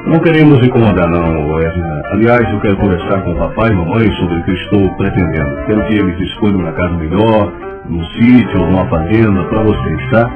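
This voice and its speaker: A man's voice: low-pitched, a little fast, hardly any pauses, natural voice. A man with a Brazilian accent acting a caring, plain-spoken leading man in an old radio soap. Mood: earnest. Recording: narrow, tinny old radio recording. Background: music.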